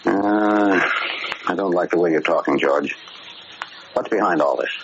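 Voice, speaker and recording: A man's voice: deep voice, measured pace. An actor in a 1940s radio drama playing a paternal, exasperated prison warden, earnest and insistent in his questioning. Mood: reproving, worried. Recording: muffled, hissy vintage radio broadcast recording.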